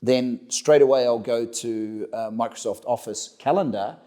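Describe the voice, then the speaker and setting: A man's voice: medium pitch, a little slow, dark tone. A middle-aged man with a New Zealand accent, answering interview questions conversationally, often repeating words and restarting as he goes. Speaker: interview guest, company director.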